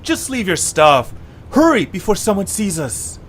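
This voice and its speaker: A man's voice: high-pitched, sing-song, measured pace, a little hiss or hum, pitched above the performer's own voice. An eager young male creature from a cartoon story, acted by a man. He talks with urgency, like a conspirator passing on a secret plan to friends. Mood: urgent.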